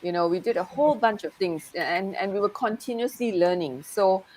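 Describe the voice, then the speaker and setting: A woman's voice: medium pitch, expressive, normal speed, a little mellow, rough recording. Malaysian-raised woman, reflective and chatty. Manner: reflective, with frequent "you know". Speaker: NLP coach. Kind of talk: casual conversation.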